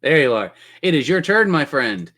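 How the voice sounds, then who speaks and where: A man's voice: a little high, very animated, normal speed, a bit bright. Playful male game master with theatrical phrasing. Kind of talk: narrating a tabletop role-playing game.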